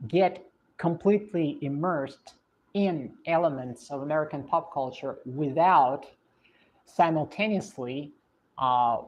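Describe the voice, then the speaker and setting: A woman with a low, deep voice speaks with a Russian accent softened by British English. She answers viewer questions in a casual livestream, thinking aloud with frequent pauses and restarts. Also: a little hiss or hum, low-quality recording. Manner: thoughtful, hesitant, many fillers and restarts.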